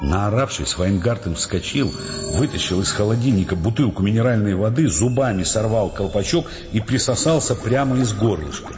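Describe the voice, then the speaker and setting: An actor as the grave storyteller in a Russian audio drama, reading scripted literary narration of a tense novel. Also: male voice, lowish voice, expressive, normal speed, dull audio, music in the background, natural voice. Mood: measured, tense.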